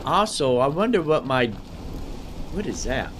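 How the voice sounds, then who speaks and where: A man with a somewhat high voice chats casually as he plays a video game. He thinks out loud, wandering along and circling back to repeat phrases. There is clear, steady background noise under his voice. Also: a little slow. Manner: rambling, thinking aloud, often repeats phrases. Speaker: gaming streamer. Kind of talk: casual solo gameplay commentary.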